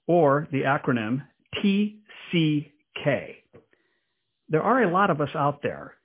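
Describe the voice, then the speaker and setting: Male host, measured narrator, slightly raspy, lo-fi phone-band sound. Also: fairly high pitch. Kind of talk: scripted narration.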